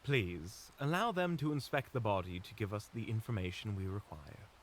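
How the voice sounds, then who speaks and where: A man's voice: on the low side, lively intonation, moderate pace, slight background noise, lightly acted. A man playing a courteous, diplomatic hunter in a fantasy audio drama, with a polite, genteel manner. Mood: courteous, reasoning. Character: courteous, diplomatic, smooth-talking monster hunter named Swan. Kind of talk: fantasy audio roleplay acting.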